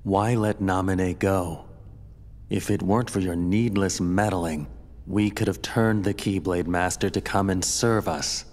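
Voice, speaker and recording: An actor playing a cold, scheming villain lord in a video game, haughty and formal. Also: male voice, deep voice, steady tone, a little mellow. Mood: cold, accusing. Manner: haughty, formal, measured menace. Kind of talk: video game cutscene acting. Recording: long reverb, music behind.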